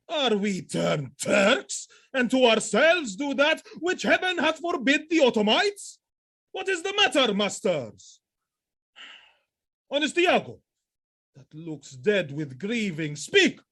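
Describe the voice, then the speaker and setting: Commanding stern general in shakespeare audio play, slow n weighty, voice swings all over. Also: male voice, very high-pitched, fairly bright tone, full character voice. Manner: declamatory, weighty, deliberate. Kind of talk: Shakespearean audio drama acting.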